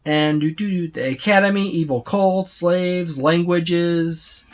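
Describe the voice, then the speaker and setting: A tabletop game reviewer giving a casual, unscripted overview, speaking haltingly with repeated words and trailing lists. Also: medium pitch, steady tone. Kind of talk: casual unscripted book flip-through overview.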